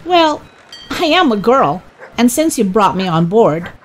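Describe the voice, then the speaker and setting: A woman's voice: on the high side, sing-song, measured pace, slight background noise. A woman voicing a bold, defiant fifteen-year-old girl among pirates in a storybook. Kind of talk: storybook character voice.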